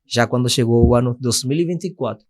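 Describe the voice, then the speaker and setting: A man's voice: medium pitch, fast, darkish tone. A man in his twenties from Venezuela, speaking Portuguese with a Venezuelan Spanish accent, earnestly giving his testimony as a missionary guest and now and then searching for words. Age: 20s. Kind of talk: podcast testimony.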